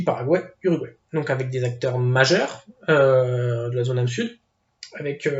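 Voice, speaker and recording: A man's voice: mid-range voice, vocal fry, darkish tone. Hesitant man in an echoey room. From Portuguese family background. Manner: hesitant, many fillers and restarts. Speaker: football video commentator. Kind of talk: casual spoken opinion piece. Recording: echoey room, dull lo-fi sound.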